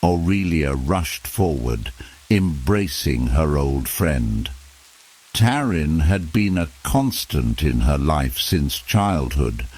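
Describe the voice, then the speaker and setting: Really deep voiced guy reading a fantasy bedtime story, slow and measured. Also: lively intonation, darkish tone. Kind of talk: scripted bedtime fantasy narration.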